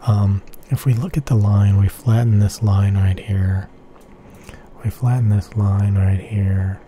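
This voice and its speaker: A man explaining headphone measurements slowly and softly, repeating his phrases and often dropping into an unvoiced whisper. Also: on the low side, fairly even intonation, slight background noise. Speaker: headphone reviewer. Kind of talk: slow whispery ASMR-style tech explanation.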